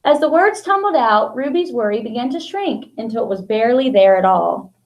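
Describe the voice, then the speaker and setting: A middle-aged American woman, a school principal, reads a picture book aloud to children in simple, expressive phrases. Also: fairly high pitch, fairly quick, lo-fi sound. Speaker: school principal reading to pupils.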